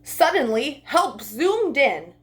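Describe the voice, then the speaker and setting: Expressive, high-voiced woman reading to children. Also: slow. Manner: expressive, addressing children directly. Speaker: children's storytime host. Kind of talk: storybook read-aloud and talk to young children.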